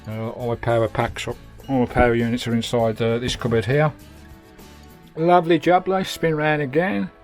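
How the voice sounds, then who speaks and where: A man's voice: medium pitch, steady tone, moderate pace, soft background music. A man with a British accent giving a casual, matey, hands-on vlog commentary from inside a campervan. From United Kingdom. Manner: chatty, matey, peppered with British slang. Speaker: vanlife vlogger. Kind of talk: casual hands-on video tour and fitting commentary.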